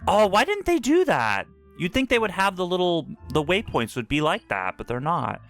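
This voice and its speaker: A male game streamer chatting casually as he plays. His voice is bright and forward, with animated swings in pitch and a reactive, slangy manner. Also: normal speed, soft background music. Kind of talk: casual live playthrough commentary.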